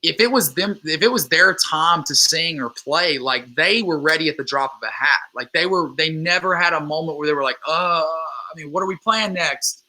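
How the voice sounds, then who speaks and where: A man's voice: on the high side, moderate pace, few pauses, pushed and bright, low-quality recording. A man in his twenties from Louisiana, a guest musician talking casually and earnestly, with many restarts and fillers. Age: 20s. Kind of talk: casual interview chat.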